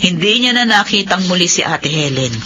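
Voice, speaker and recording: A middle-aged woman playing a worried, gently pessimistic mother in a Filipino radio drama, emotive and hesitant. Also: lowish voice, expressive, a little fast. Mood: sad, confiding. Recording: dull lo-fi radio, background noise.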